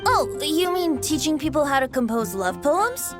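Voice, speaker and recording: A woman's voice: sits high, big pitch swings, moderate pace. A woman voicing a cheerful, mischievous boyish bard in a video game, airy and playful. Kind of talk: video game character acting. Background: loud background music.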